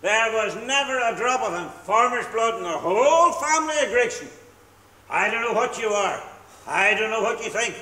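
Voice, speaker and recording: An actor playing a drunken, boastful, preachy middle-aged husband on stage. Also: male voice, sits high, expressive, normal speed, pushed and bright. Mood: blustering. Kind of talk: stage play acting. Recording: echoey hall, far from mic.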